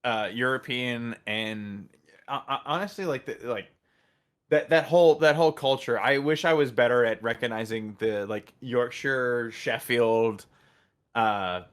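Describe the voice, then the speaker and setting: An American man talking casually as a podcast co-host, enthusiastic but halting, often repeating words as he works out his point. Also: medium pitch, a bit bright, smooth. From United States. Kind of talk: casual chat about a TV show.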